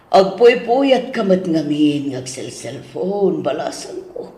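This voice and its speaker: Man acting a slow-talking, nagging elderly mother-in-law. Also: on the high side, sing-song, warm tone. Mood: scolding. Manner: slow, admonishing, drawn-out motherly speech. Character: nagging, caring older mother-in-law, strict but generous. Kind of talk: Ilocano audio soap drama acting. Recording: roomy, echoing studio sound.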